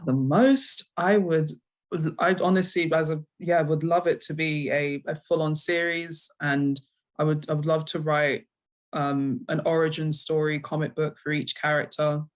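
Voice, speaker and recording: A woman's voice: low voice, steady tone, unhurried. A woman from London with a London accent, answering interview questions in a hesitant, reflective way and often rewording herself. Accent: London English. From London, England. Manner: hesitant, reflective, self-correcting. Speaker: interviewed guest, comic book writer.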